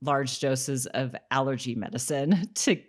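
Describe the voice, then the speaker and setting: A woman's voice: extremely low pitch, a little slow. A woman co-hosting a podcast, chatting casually as she recaps a TV episode. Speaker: podcast co-host.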